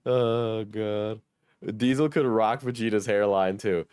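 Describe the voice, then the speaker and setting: Hoarse, sick young man streamer, dry mic. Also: lowish voice, fairly even intonation, slow, a little mellow, no room sound. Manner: joking, self-mocking, swears. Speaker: streamer reading chat. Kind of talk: casual livestream chat.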